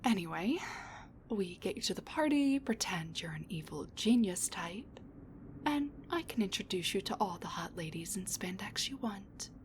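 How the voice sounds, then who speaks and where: A woman playing a sassy, rambling wounded supervillain with a soft side, talking intimately to the listener in a roleplay audio drama, with breathy asides and sighs. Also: medium pitch, lively intonation, moderate pace, slight background noise, lightly acted. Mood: teasing.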